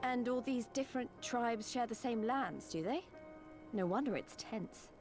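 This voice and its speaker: A woman's voice: on the high side, animated, unhurried, over music, voice pushed up. A woman voicing a curious, plain-spoken young female companion in a fantasy game, with an informal, questioning manner.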